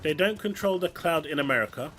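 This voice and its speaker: A man's voice: fairly high pitch, animated, a little fast, fairly bright tone, slight background noise. Chatty London man streaming a game. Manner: chatty, opinionated, rambling. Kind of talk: casual chat while gaming. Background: game music.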